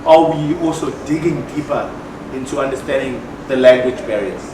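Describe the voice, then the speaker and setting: Brief: male audience questioner, isiZulu native, rambling and quiet, distant mic in a noisy hall. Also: medium pitch, normal speed. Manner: rambling, many restarts, soft-spoken. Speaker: audience member asking a question. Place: seminar hall at a festival. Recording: roomy echo from far off, loud background noise.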